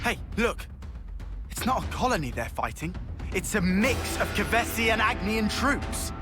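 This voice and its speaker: An actor playing a cocky, impatient young fighter in a video game, with brash, punchy delivery. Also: male voice, sits high, sing-song, a little slow, over music. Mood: alert. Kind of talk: video game cutscene acting.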